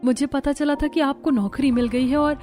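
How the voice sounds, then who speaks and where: A woman voicing a gentle, dutiful young sister in a cartoon story, earnest, polite and apologetic. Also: sits high, steady tone, moderate pace, faint music behind, lightly acted. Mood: caring. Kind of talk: Hindi cartoon story acting.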